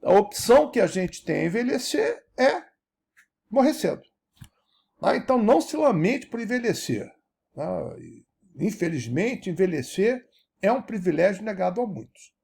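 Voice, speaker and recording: A man, giving a lecture as an animated teacher who keeps checking that his audience follows. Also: sits high, normal speed, creaky, husky. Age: 70s. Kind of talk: recorded university-style lecture.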